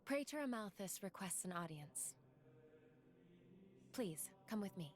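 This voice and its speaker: An actress playing a serene young woman envoy in a video game cutscene, speaking with formal, measured courtesy. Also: mid-range voice, expressive, natural voice. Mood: courteous, composed. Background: music.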